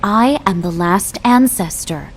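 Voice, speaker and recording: A woman playing an earnest, principled young military aide in a video game cutscene, speaking in a crisp, articulate manner. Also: medium pitch, animated, slow. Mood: indignant.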